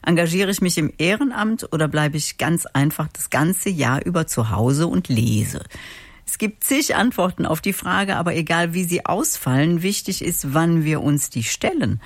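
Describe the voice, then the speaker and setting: A woman presents as an interview host. Her voice sits fairly low and the sound is dry, with little room around it. She talks in a prepared, structured way. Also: animated, normal speed, no echo. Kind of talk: scripted introduction and interview questions.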